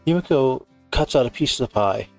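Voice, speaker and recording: A man gives a casual playthrough of a visual novel. His voice is gravelly with fry at a middling pitch, and soft music plays behind him as he reads the text out. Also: animated, brisk. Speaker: gamer playing and narrating a visual novel.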